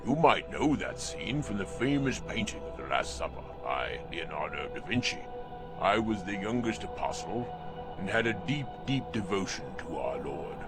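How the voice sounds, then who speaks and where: An actor playing a gentle, devout apostle saint in a children's audio drama, telling his story with reverent, measured delivery. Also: low-pitched, lively intonation, music in the background.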